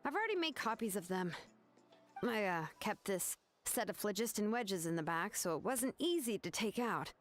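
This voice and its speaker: An actress voicing a laid-back young craftswoman who explains things in a relaxed, matter-of-fact way. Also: medium pitch, normal speed, lightly acted. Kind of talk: video game voice acting.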